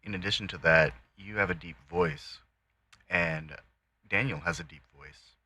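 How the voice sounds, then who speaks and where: Deep-voiced guy, bright tense edge, talks it through slow and steady, dry sound. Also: breathy edge, dry recording. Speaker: podcast co-host. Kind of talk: casual chat.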